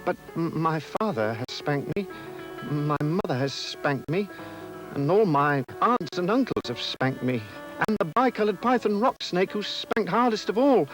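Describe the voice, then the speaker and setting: A small, curious elephant calf, voiced high and thin by a man reading aloud. He pleads with breathless politeness and swings up and down in pitch. A storybook reading with music clearly playing underneath. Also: lively intonation, measured pace, rough recording. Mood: polite pleading.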